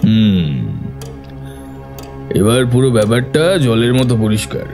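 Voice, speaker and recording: A man playing a calm, astute detective mentor in a cartoon, explaining things in a measured, deliberate way. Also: mid-range voice, over music, lightly acted. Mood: assured, analytical. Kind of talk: Bengali cartoon detective dialogue.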